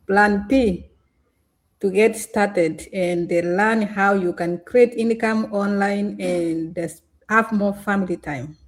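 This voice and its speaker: A woman from Kenya introducing herself in an upbeat, welcoming and promotional way as a guest on an online chat. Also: mid-range voice. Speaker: guest, digital business owner.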